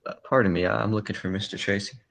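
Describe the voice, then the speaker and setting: A man's voice: on the low side, fairly even intonation, fast, gravelly, a bit bright, slight background noise. A man playing a solemn, polite, slightly hesitant off-duty circus clown in a 1940s radio play.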